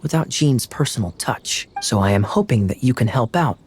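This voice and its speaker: Actor as courteous knight commander for a game: suave, formal, middle register, slight background noise. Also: male voice, medium pitch, expressive, normal speed, a little mellow, lightly acted. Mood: courtly. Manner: formal, smooth-talking. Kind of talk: video game voice acting.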